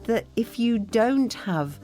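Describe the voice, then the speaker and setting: A woman's voice: fairly high pitch, animated, takes it slowly, mellow, faint music behind. A woman speaking appreciatively as an interview guest. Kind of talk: gracious interview talk.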